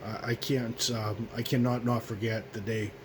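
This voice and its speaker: A hoarse man in his twenties from the United States hosting his own radio show, speaking in a halting, earnest way full of ums and restarts. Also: mid-range voice, little pitch movement, noisy background. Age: 20s. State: hoarse, coughing. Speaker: host of a home radio show. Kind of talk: solemn remembrance monologue.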